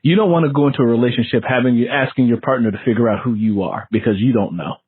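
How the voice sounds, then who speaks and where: A man's voice: medium pitch, rapid-fire, slightly rough, no echo, phone-quality sound. A man hosting an interview in a casual, conversational way, explaining things and acting out quoted lines as he goes. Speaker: interview host.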